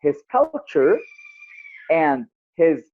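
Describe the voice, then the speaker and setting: Slow Algerian man on a tinny call. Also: fairly high pitch, sing-song. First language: Arabic. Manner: slow and deliberate, many fillers and repeats. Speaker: chat participant, linguist. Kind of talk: casual group chat. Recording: tinny phone-like online call audio.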